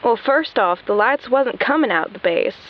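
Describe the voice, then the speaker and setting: A woman's voice: on the high side, expressive, moderate pace. A woman playing an earnest, plain-spoken rural caller to a radio show, polite and folksy. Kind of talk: radio drama phone-in acting. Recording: dull phone-in line.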